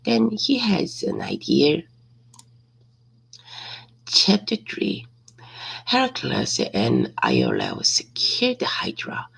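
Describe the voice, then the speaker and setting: A woman reading a simple story aloud as practice, hesitantly, repeating and correcting words, with a raspy, creaky voice. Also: low-pitched, huge pitch swings, very slow, long pauses, fairly bright tone, low-quality recording.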